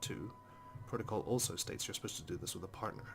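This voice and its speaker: A man's voice: low voice, fast talker, gravelly. A man hosting a let's play, reading visual novel narration aloud dramatically with casual, emotionally involved comments. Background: game music.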